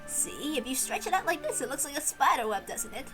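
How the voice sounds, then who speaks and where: A woman voicing a kindly, playful servant who gently coaxes and explains like a storyteller, in a visual novel read-aloud. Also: high voice, very animated, brisk, soft background music. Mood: playful, instructive. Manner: gentle, coaxing, chuckling storyteller.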